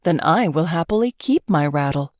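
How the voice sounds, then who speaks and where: A stubborn young storybook swineherd, voiced by a woman, calm and sure of himself. The speech is dry and close, with a lively rise and fall. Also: low voice, normal speed, no echo. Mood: firm, unbothered. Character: self-assured, stubborn young man, a prince as swineherd.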